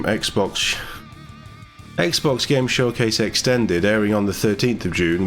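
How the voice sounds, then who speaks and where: A British man presenting gaming news in a casual, sardonic way, mixing read-out text with his own commentary. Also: low-pitched. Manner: sardonic, sweary asides. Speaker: gaming YouTuber.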